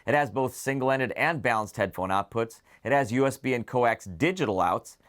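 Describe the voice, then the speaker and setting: A man's voice: medium pitch, expressive, normal speed, fairly bright tone. A man hosting a product preview, speaking to viewers in a detailed, enthusiastic, semi-scripted way. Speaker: presenter of an audio gear preview show.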